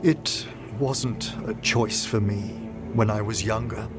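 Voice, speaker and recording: An actor playing a courteous, scholarly, melancholy older necromancer mage in a video game, speaking formally and theatrically. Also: male voice, on the low side, steady tone, slow, noisy background. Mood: haunted, confiding.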